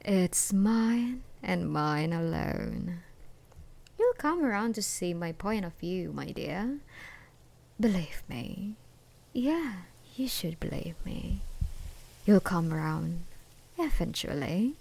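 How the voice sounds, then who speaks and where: An obsessive, jilted ex-girlfriend, acted by a woman in an ASMR roleplay. She speaks extremely slowly, in a lowish voice thick with gravelly fry. Every phrase is drawn out into an intimate, possessive threat. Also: sing-song, full character voice. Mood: coaxing, menacing. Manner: slow, menacing, drawn-out taunting with dark chuckles and whispers. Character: obsessive, menacing jilted ex-girlfriend hunting her beloved.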